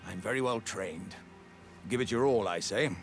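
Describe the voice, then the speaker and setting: A man's voice: fairly low pitch, normal speed, close to an everyday voice. A man with a British accent voicing a hearty, pompous, good-natured living warrior jar in a theatrical fantasy game style. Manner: grandiose, jovial, old-fashioned gentlemanly phrasing. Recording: music behind the voice.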